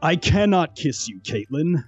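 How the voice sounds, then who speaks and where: Male actor as grumpy young man, husky, slow, swooping pitch, dry delivery, comedic roleplay, music behind. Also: very animated, lo-fi sound, heavily acted. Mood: gloomy, melodramatic. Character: Zane, a grumpy, sulky young man obsessed with science witchcraft. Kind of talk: comedic roleplay reading of fan fiction.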